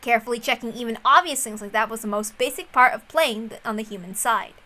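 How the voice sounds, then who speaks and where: A woman reading a visual novel aloud as narrator, expressive and informal in style. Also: fairly high pitch, fast.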